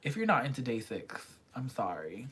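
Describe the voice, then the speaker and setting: A woman's voice: rumbling low, big pitch swings, normal speed, breathy edge. A young woman casually reviewing pop albums in a vlog, chatty and gushing, sometimes dropping to a whisper. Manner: chatty fangirl, gushing, drops into quiet whispers.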